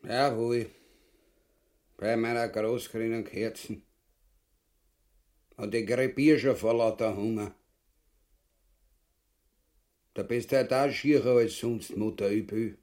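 An actor playing a gluttonous, crude, clownish husband in a farcical radio play, with a Viennese Austrian accent and exaggerated, coarse delivery. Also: male voice, mid-range voice, steady tone, a little mellow, close to an everyday voice. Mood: grumbling, hungry. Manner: drawn-out, exaggerated, coarse delivery with big swings.

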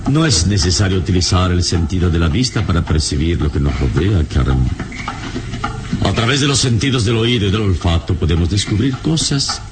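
A man playing a wise, calm, noble adventurer in an old radio serial, speaking in a measured, courteous, instructive way. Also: low voice, background noise. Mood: serene, philosophical. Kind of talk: old Latin American radio serial acting.

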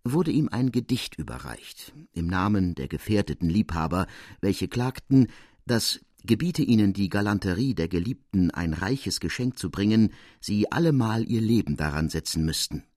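A male audiobook narrator with a low voice reads classic literature. His phrasing is expressive, dramatic and deliberate. The recording is dry, with hardly any room sound. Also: dry recording.

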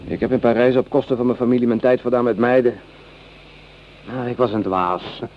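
An actor playing a rueful, well-off young volunteer soldier in a radio play, confiding in an earnest, reflective way. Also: male voice, mid-range voice, steady tone, brisk, lightly acted. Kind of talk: Dutch radio play acting. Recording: dull, noisy old radio recording.

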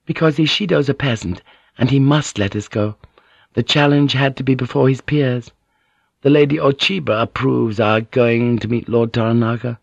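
A male narrator plays a young noblewoman of storybook fiction, devoted and proud, tender yet fiery. The voice is lifted high, with earnest, old-fashioned formal phrasing. The recording is slightly dull. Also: steady tone, moderate pace, creaky, no echo. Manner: formal, archaic, earnest speech. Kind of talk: audiobook character dialogue.

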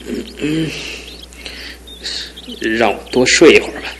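A man's voice: medium pitch, measured pace, background noise, close to an everyday voice. An actor in his twenties playing a sleepy, playful young husband in a radio drama, with a lazy drawl. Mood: drowsy. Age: 20s.

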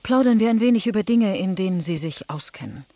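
An actress playing a worldly, bitter French marquise in a radio drama, eloquent, ironic and probing. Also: female voice, medium pitch, animated, a little fast, warm tone, no echo. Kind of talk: German radio drama acting.

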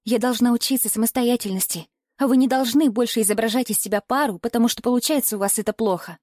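A woman's voice: fairly high pitch, dark tone, no room sound, studio quality, natural voice. A woman narrator voicing an earnest young adult daughter, pleading and soothing yet resolute.

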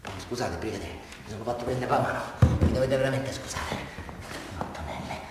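An actor playing a rambling, volatile small-town criminal with a southern Italian accent, confessing to a horrendous crime in a theatrical radio-drama monologue. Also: male voice, mid-range voice, a little fast, lightly acted. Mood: brooding, menacing. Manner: rambling, insistent, swinging from pleading to muttering. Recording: very echoey hall, distant mic, faint noise.

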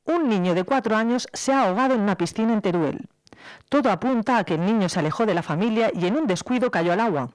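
An actress playing a formal, measured radio newsreader in 1970s Spain, in an audio drama. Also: female voice, medium pitch, animated, darkish tone, natural voice. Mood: formal, grave. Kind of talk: formal scripted news bulletin.

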